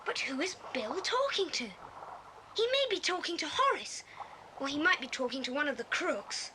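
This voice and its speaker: A woman playing an excitable young British schoolboy in a children's audio adventure drama. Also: high-pitched, normal speed. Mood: hushed, cautious. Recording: noisy old cassette story tape.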